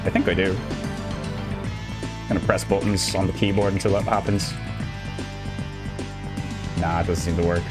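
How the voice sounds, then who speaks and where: A man's voice: deep voice, flat delivery, moderate pace, pauses often. A young Irish man with an Irish accent, casually chatting through a live gameplay stream in an upbeat, rambling way. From Ireland. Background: game music.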